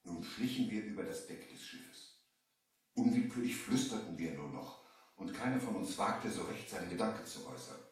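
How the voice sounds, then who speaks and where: An actor playing a young merchant who recounts his sea adventure with measured drama in a children's radio play. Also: lowish voice, darkish tone, distant mic, lightly acted. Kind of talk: 1970s German children's radio play narration. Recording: roomy, echoing old vinyl radio play.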